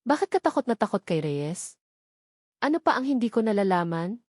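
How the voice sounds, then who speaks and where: A woman's voice: mid-range voice, sing-song, moderate pace, a little mellow, dry recording, clean studio sound. A Filipino woman narrator voicing a desperate, determined grown son and father, urgent and demanding, in a dramatic story.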